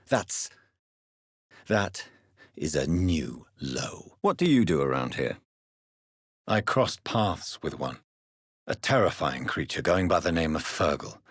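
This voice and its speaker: An actor playing a grave, courteous doctor turned vampire in 1910s London, with a husky voice and formal, measured delivery, in a dramatic video game. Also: male voice, sits low, dry recording, studio quality, heavily acted. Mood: grim. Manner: formal, measured, articulate, earnest.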